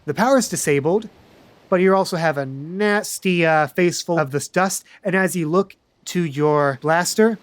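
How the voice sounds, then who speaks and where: Dry-voiced deadpan game master. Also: male voice, a little high, moderate pace, no echo. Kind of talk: narrating a tabletop game.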